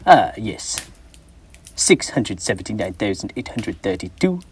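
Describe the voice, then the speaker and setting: Scrooge, a snarling old miser. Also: male voice, on the low side, big pitch swings, a little slow, a little hiss or hum. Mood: muttering counting. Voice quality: gruff, snapping, contemptuous. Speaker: stage actor. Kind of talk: high school stage play.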